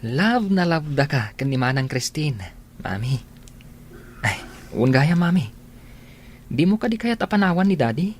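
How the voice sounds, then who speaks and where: A gentle young son voiced by a man with an Ilocano accent, over light background noise. His delivery is animated in pitch. He is soft-hearted, earnest and protective, in radio-drama style. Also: unhurried, long pauses. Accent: Filipino, Ilocano.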